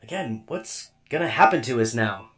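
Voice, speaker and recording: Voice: medium pitch, animated, moderate pace, a bit bright, close to an everyday voice. An actor voicing a mild, bemused robot companion in a radio drama, hesitant and plainspoken. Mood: uneasy. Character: mild, bemused robot companion who just gained legs.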